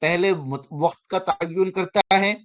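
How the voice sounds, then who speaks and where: A man in his thirties teaching an online finance class, often pausing to check that students understand and correcting himself as he goes. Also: sits high, a bit bright. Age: 30s. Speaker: finance course instructor.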